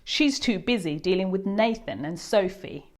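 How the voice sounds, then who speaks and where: A woman's voice: mid-range voice, animated, moderate pace. A woman reading a children's storybook aloud expressively, speaking directly to her young listeners as host.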